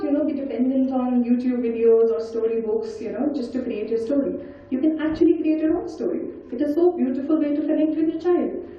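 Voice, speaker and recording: A woman giving an interactive storytelling talk, animated and coaxing as she puts questions and instructions to her listeners. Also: fairly high pitch. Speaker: storyteller and speaker leading an audience activity. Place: large indoor event hall. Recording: very echoey hall, distant mic, dull sound.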